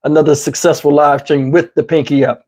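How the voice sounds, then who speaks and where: A man's voice: a little high, level tone, brisk, a little mellow. A man with a Southern US accent hosting a casual livestream, rambling conversationally, his voice tiring and his throat parched. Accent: Southern US English. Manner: rambling, conversational, asks "you know what I'm saying". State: voice getting tired, throat parched. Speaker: YouTube commentary host. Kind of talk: casual livestream talk to viewers.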